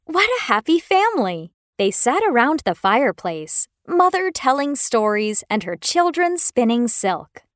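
A woman's voice: high voice, big pitch swings, unhurried, few pauses, dry recording, clean studio sound. A woman narrating a scripted children's story expressively.

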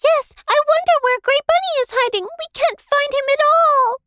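A woman's voice: very high voice, normal speed, pushed and bright, dry recording, studio quality. A woman voicing a tiny, eager young bunny in a children's audio story. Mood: puzzled.

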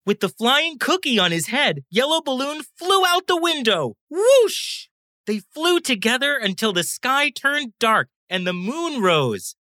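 A woman reading a children's bedtime story as a scripted, animated narrator, acting out the sound words. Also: high voice, a little slow, no room sound, clean studio sound.

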